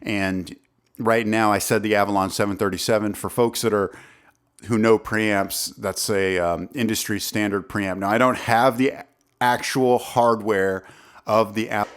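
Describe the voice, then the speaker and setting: Deep-voiced man chatting casually into a studio mic. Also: unhurried, clean tone. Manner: relaxed, rambling, frequent restarts and fillers. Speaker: songwriter vlogger. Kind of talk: casual talk to camera. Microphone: studio condenser mic, close.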